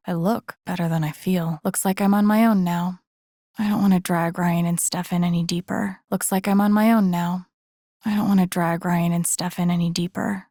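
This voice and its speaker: Husky, troubled young woman, wry. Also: on the low side, darkish tone, no room sound, studio quality. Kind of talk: naturalistic video game voice acting, inner monologue.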